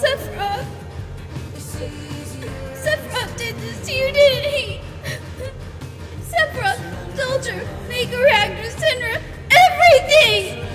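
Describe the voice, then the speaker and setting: A woman's voice: very high-pitched, takes it slowly, pauses often. A woman playing an anguished, furious young woman crying out with passion in a fan-dubbed video game. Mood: anguished rage. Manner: crying out, impassioned. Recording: echoey, music clearly behind.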